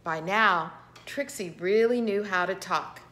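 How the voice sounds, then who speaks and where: A middle-aged woman reading a picture book aloud to children with expressive, dramatic emphasis. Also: medium pitch, a little slow. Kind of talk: animated children's storybook read-aloud. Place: indoor living room with piano.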